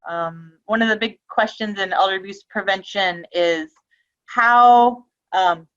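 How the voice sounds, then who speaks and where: A woman's voice: mid-range voice, a little slow, a bit bright, highs cut off. A woman giving a webinar presentation on a video call, talking casually with frequent ums and restarts. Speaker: webinar presenter on elder abuse prevention.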